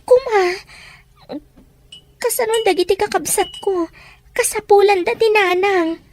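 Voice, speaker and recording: A woman's voice: very high voice, measured pace, a little hiss or hum. A woman playing a worried young daughter who pleads for her siblings in an Ilocano radio drama, with an Ilocano accent. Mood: pleading.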